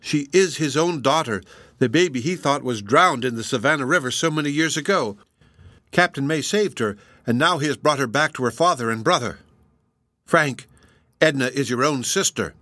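An earnest, excited girl played by a male narrator talks urgently and with emphasis. The pitch is lifted above the reader's usual talk. It is a storybook character, recorded dry. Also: normal speed, no room sound, close to an everyday voice. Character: earnest excited girl pleading with her father.

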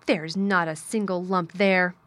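A woman voicing a hot-tempered, bossy little girl in a storybook, with a sharp, scolding manner. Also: lowish voice, steady tone, unhurried. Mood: cross, insistent.

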